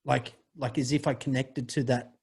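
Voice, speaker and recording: Husky man telling a rambling story. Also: medium pitch, steady tone, a little mellow. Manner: profane, rambling, halting with many restarts. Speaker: podcast guest. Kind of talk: candid personal storytelling.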